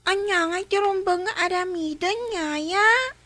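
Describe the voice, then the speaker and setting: A woman's voice: very high voice, a little slow, bright, forceful tone, heavily acted. A woman voicing a timid, hesitant little child in a radio drama. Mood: timid, whiny. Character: timid little child patient afraid of injections. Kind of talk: Ilocano radio drama dialogue.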